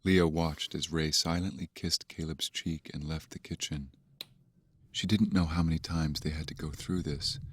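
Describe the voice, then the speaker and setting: An actor playing a grave, ominous narrator of a horror audio drama, reading in a measured way. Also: male voice, extremely low pitch, steady tone, breathy edge, no room sound. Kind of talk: scripted narration.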